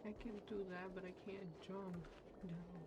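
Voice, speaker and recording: A woman's voice: on the low side, fairly even intonation, a little slow, heavy background noise. A young woman streaming a game for the first time, chatting casually and laughing at her own confusion. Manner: chatty, self-mocking, easily confused. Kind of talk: casual gameplay commentary. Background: game music.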